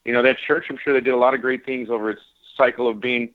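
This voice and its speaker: Radio host, a man who talks fast and earnest, tinny and dry sound. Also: medium pitch, level tone, dry recording. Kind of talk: casual radio talk show chat.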